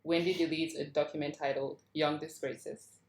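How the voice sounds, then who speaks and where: Low-voiced woman reading in an echoey room. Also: fairly even intonation, normal speed. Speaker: stage directions reader at a screenplay table read. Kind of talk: scripted narration, read aloud.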